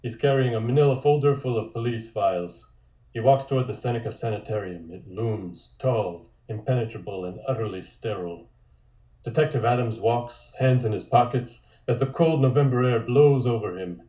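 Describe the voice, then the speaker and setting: A male narrator reads stage directions in a deliberate, descriptive way. His voice is relaxed and medium in pitch. The room adds a noticeable echo. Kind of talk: scripted narration at a table read. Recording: echoey room sound.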